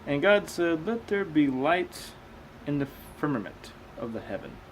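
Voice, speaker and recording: A man's voice: on the high side, big pitch swings, measured pace, a little hiss or hum, poor audio. A man reading aloud and commenting casually as a host, with a skeptical, questioning manner. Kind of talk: casual commentary between read-aloud passages.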